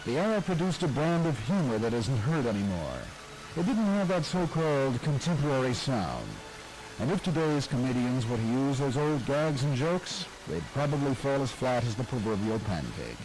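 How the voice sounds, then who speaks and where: Man, documentary narrator, measured delivery, lively pitch, faint hiss. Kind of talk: scripted radio history narration.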